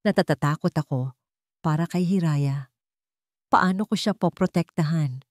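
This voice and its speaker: A woman's voice: low-pitched, normal speed, a little mellow, dry recording, studio quality, lightly acted. A woman voicing a frightened, cornered father confiding in his journal, in a dramatic audio storybook. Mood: fearful.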